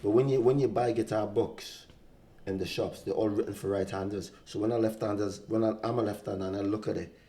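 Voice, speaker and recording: A man's voice: fairly low pitch, steady tone, fairly quick, dark tone. A man, chatting casually as an interview guest and telling anecdotes, often repeating himself. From West Indies. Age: 60s. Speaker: podcast guest, singer-songwriter.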